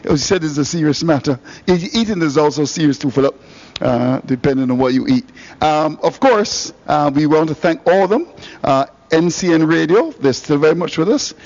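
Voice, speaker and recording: A man's voice: fairly high pitch, normal speed, darkish tone. A man hosting cricket radio, giving on-air thanks with jovial, laughing asides. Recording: dull broadcast audio.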